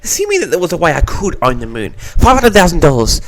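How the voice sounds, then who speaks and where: A man with a fairly high voice and very lively, swinging intonation hosts a casual question-and-answer log. He reads questions aloud and replies theatrically, with a mock-grand air, addressing his listeners directly. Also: quick pace. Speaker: host of an audio log answering audience questions.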